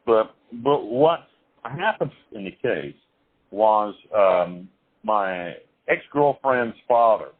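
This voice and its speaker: A man being interviewed over the phone, telling his life story in a rambling, halting way with many restarts and some blunt, crude wording. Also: fairly low pitch, expressive. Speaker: interview guest. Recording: tinny phone line.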